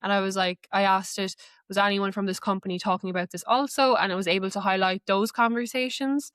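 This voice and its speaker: Woman talking through software, dry close sound. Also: medium pitch, steady tone, fairly quick, clean tone, no echo. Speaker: guest, AI knowledge manager. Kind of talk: conversational software walkthrough.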